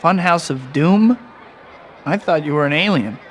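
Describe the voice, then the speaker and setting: An actor playing a young, wisecracking video game superhero with a flippant, sarcastic, unimpressed way of quipping. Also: male voice, fairly high pitch, lively intonation, a little slow, a bit bright, slight background noise. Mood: mocking. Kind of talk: video game hero acting. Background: game music.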